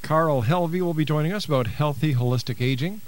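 A man's voice: on the high side, a little fast. A man hosting a radio talk show, speaking in a chatty, folksy way as he runs through his show opening.

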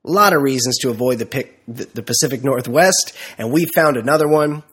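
Stuffy nasal guy hosting a podcast, kinda raspy, goes on big dramatic tangents. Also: medium pitch, brisk, a bit bright. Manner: rambling, mock-dramatic storytelling. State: congested from a cold. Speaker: podcast host. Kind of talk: casual podcast banter.

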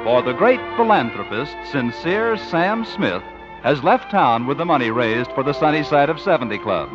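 A man's voice: medium pitch, very animated, moderate pace. A man announcing a 1950s radio show, reading scripted narration with polished, dramatic emphasis. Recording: muffled vintage radio, music behind.

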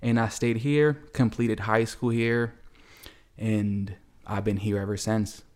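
A man's voice: on the low side, steady tone, takes it slowly, darkish tone. Easygoing young man from El Salvador. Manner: easygoing, plain-spoken. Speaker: guest artist. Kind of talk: casual interview answers. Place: home kitchen.

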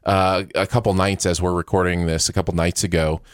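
A man's voice: low voice, level tone, a little fast, smooth. A man hosting a podcast in a casual, playful, bantering way. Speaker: podcast host. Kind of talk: casual banter and show intro.

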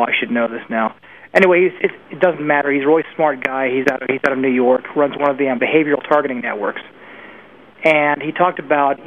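A middle-aged man comes through a narrow, tinny phone line with faint noise. He gives conversational industry commentary as a guest pundit, often stopping to correct himself, in a slightly high voice. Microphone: telephone call.

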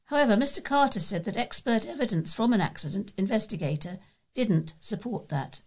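A woman's voice: medium pitch, animated, a little fast, darkish tone. A woman with a British accent reads local news articles aloud as a volunteer newsreader.

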